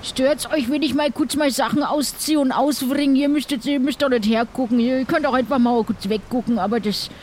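Guy doing a whiny soft pirate, high voice, chatty n rambling, bit of background noise, audio play. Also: moderate pace, hardly any pauses. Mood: complaining. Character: grumbling, soft pirate Kalle, fussy about catching colds. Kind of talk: improvised audio adventure play. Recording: noisy background ambience.

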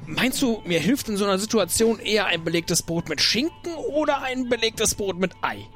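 A man playing an earnest, hungry pirate crewmate who deliberates aloud in an improvised audio drama. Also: high voice, animated, fairly bright tone, faint music behind.

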